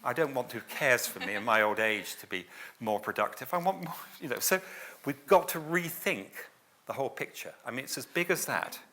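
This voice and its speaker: A man's voice: medium pitch, animated, brisk, pushed and bright. A man speaking on a discussion panel, rallying the room with repeated calls to act and many "you know" fillers. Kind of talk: passionate panel talk. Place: event hall with audience.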